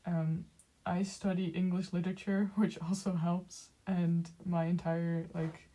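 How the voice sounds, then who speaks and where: Soft, slow-talking young woman with a Dutch accent. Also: lowish voice, level tone. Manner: soft-spoken, halting, many fillers and small laughs. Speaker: streamer. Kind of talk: casual chat while drawing on stream.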